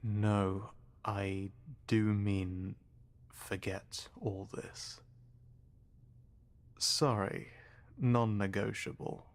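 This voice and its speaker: A slow, coldly polite male scientist interrogator, put on by a man running a tabletop game. The voice sits low and gravelly. It drags each word out with soft, quiet menace. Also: steady tone. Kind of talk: voicing an NPC in a tabletop game.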